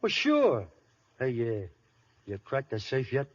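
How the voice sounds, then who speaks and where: A man's voice: mid-range voice, wildly swooping pitch, measured pace, slightly rough, exaggerated voice. An actor playing a cocky, threatening small-time American gangster with slangy wise-guy talk in an old radio play. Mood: suspicious. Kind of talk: 1930s-40s radio gangster acting. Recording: muffled, hissy old radio broadcast.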